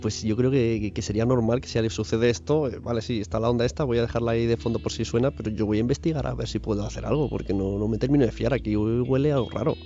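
A man's voice: medium pitch, fairly even intonation, racing, few pauses, mellow, highs cut off. A man chatting casually on a podcast panel, hesitant and colloquial. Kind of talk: casual chat.

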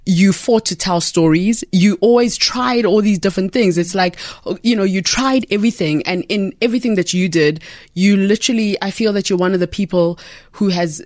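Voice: medium pitch, moderate pace, a little mellow, no echo. Earnest, effusive interview host, dry sound. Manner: earnest, effusive, full of fillers and restarts. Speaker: podcast host. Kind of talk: casual interview chat.

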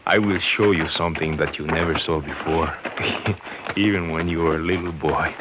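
An actor playing a loyal, anxious elderly Mexican servant with a Spanish accent and halting, deferential delivery in the style of 1950s radio drama. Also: male voice, a bass voice, steady tone. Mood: urgent, secretive. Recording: noisy, muffled old 1950s radio recording.

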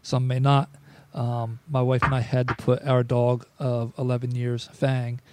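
A man's voice: mid-range voice, completely flat delivery, a little slow, noisy background. A man hosting a sports podcast, chatting casually and rambling a little. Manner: loose, rambling with fillers. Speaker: podcast host. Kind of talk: casual chat.

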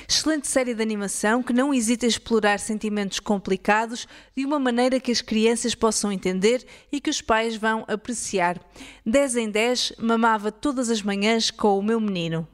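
A woman's voice: fairly high pitch, moderate pace, dry recording, clean studio sound. A woman with a European Portuguese accent hosting a podcast, reading a scripted documentary narration. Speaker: podcast host.

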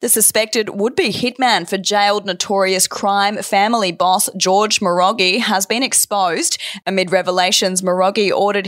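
A woman's voice: mid-range voice, fairly bright tone, no room sound, studio quality. An Australian woman presenting scripted news headlines as a newsreader.

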